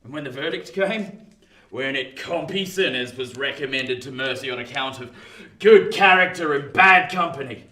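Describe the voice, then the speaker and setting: An actor playing a rough old ex-convict in a radio play, with a working-class English accent, telling his past in an emphatic, colloquial way. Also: mid-range voice, moderate pace, sharp tone, natural voice. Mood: bitter indignation. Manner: colloquial, emphatic storytelling that swings into indignation. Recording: roomy, distant from the mic.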